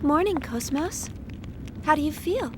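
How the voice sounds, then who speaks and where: A young female engineer from a video game, with a high voice and a gentle, caring way of talking. She sounds conversational, with clear noise behind her. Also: lively intonation, a little slow. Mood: friendly, businesslike.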